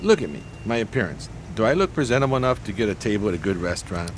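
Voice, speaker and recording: An actor playing a pompous, genteel homeless schemer in a 1920s radio play, speaking in flowery, courteous phrases. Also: male voice, lowish voice, very animated, rapid-fire, a little hiss or hum. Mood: confident, scheming.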